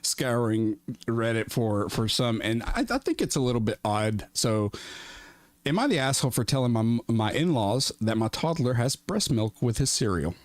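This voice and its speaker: A man's voice: medium pitch, lively intonation, normal speed, no echo. A man co-hosting a casual podcast, reading a Reddit post aloud and laughing often as he goes.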